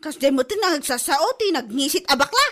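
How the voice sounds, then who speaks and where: A woman's voice: sits high, expressive, a little fast, sharp tone. A woman playing a shameless, gossipy debtor with a Filipino accent, whining and complaining in a comedy drama. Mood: indignant griping. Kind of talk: comedy radio-drama acting.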